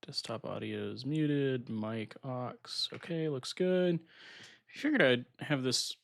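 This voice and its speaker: A man's voice: medium pitch, takes it slowly, vocal fry. A man hosting a casual live stream, a bit nasal as he recovers from being sick, talking himself through setup at his computer. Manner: relaxed, thinking aloud while setting up software. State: recovering from illness, slightly congested.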